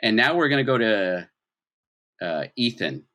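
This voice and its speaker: Male game master, bright and forceful tone, conversational tabletop storytelling with plenty of explanation. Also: on the low side, very animated, a little slow. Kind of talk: improvised tabletop game narration.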